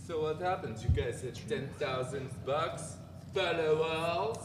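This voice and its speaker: A curious, sceptical questioner played by a man speaks slowly in a staged novel reading. His drawn-out voice sits far from the mic in a very echoey, noisy hall. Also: fairly high pitch, natural voice. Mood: probing, dry. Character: curious, sceptical male listener prodding a storyteller. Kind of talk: live dramatic reading of a novel. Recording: distant, echoey hall with clear noise.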